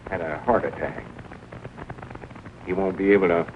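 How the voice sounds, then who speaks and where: Low male voice, man acting folksy older shopkeeper, rural Southern US accent, earnest, halting, vintage comedy film, hissy thin soundtrack. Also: fairly even intonation, lightly acted. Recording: scratchy, narrow-band 1940 film soundtrack.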